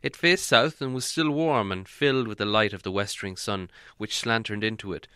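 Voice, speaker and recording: A man's voice: fairly low pitch, normal speed, bright, forceful tone, smooth, no echo, clean studio sound. A man reading a fantasy storybook aloud as scripted audiobook narration. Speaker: audiobook reader.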